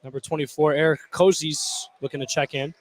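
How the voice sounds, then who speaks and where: A man's voice: fairly high pitch, fairly even intonation, fairly quick, a little hiss or hum. A man giving casual, slangy colour commentary on a live basketball game.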